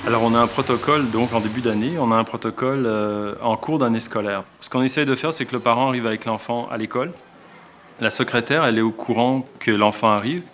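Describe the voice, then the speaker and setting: A man's voice: medium pitch, quick pace, over music. A male teacher with a Québécois accent, explaining in a documentary interview and often restarting his sentences as he thinks. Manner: reflective, explains with frequent restarts. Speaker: elementary school teacher.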